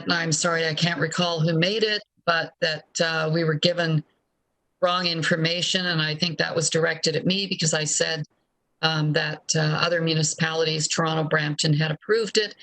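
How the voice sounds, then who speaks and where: A woman's voice: low voice, fairly even intonation, moderate pace, a bit bright, rough recording. A woman speaking off the cuff in formal remarks as a city council member.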